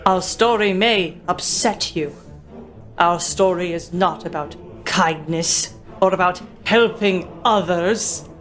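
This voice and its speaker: A woman giving a solemn, measured dramatic reading of a manifesto over choral music. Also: on the low side. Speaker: reader. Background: dramatic orchestral choral music.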